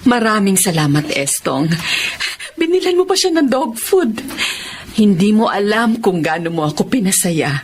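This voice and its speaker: A woman's voice: mid-range voice, very animated, normal speed, slight background noise, lightly acted. An actress playing a wealthy, childless lady devoted to her pet in a Filipino radio drama, emotive and emphatic, with a Filipino accent. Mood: grateful, tender.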